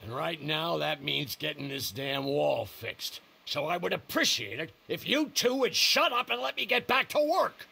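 Gruff, raspy, belligerent older man. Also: a little high, lively intonation, normal speed, hardly any pauses, sharp tone, full character voice. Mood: hostile, irritated. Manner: barking, insulting, confrontational. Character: belligerent bitter older father, gruff and hostile. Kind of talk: video game voice acting.

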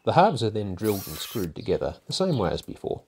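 Calm older man, lively instructional narration. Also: on the low side, fairly quick, creaky, slight background noise. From Australia. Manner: calm, methodical step-by-step explaining. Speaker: woodworking channel host. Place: home garage workshop.

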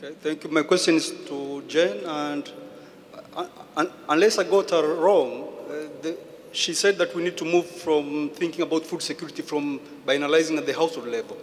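A man from Tanzania in the audience asks a question at a conference, carefully and a little haltingly. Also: fairly high pitch. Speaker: audience member asking a question. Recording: reverberant hall, faint ambience.

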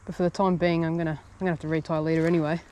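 A man talking to himself in quick bursts and low asides while fishing a bush creek, recorded on a head-mounted camera outdoors. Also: little pitch movement, darkish tone, a little hiss or hum. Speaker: fishing vlogger. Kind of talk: casual self-talk while fishing. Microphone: head-mounted action camera.

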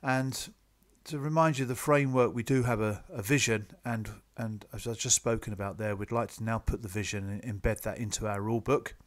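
A man presenting club business to members in a measured, businesslike, explanatory way, partly from a script. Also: fairly low pitch, fairly even intonation. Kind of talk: slide presentation, semi-scripted explanatory talk.

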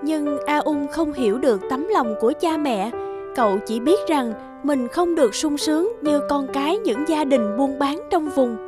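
High-voiced woman narrator over loud music. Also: animated, moderate pace. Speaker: story narrator. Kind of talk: scripted children's storybook narration. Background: children's music.

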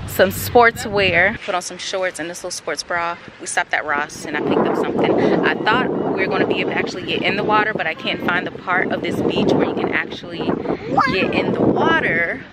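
A woman's voice: fairly low pitch, fairly quick. A woman in her late twenties chatting casually to her phone camera as she walks along a beach. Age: late 20s. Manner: chatty, talking to the camera while walking. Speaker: vlogger. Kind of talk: casual travel vlog. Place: outdoors on a beach by the sea. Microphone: phone camera at arm's length. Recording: loud wind and surf noise.

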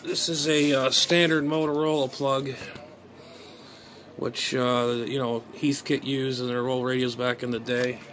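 A man's voice: medium pitch, fairly even intonation, a little slow, a bit bright. A man in his forties giving casual unscripted gadget commentary as a hobbyist vlogger, hesitant and thinking aloud in a muttering way. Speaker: amateur radio hobbyist vlogger. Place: small bedroom, indoors. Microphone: phone at arm's length. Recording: noisy phone recording with room sound.

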